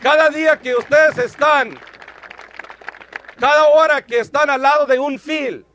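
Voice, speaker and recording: Rousing high-voiced man. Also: steady tone, a little slow, a faint crowd, a little hiss or hum. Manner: rousing, shouting slogans. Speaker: union organizer giving a rally speech. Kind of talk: rally speech.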